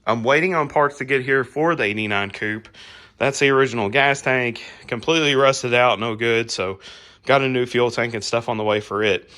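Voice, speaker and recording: A man hosting a casual garage how-to vlog while working on a car, his voice a little raspy as he gets over a cold, talking in a laid-back, rambling way with plenty of fillers. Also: mid-range voice, moderate pace, pushed and bright. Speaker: garage channel host.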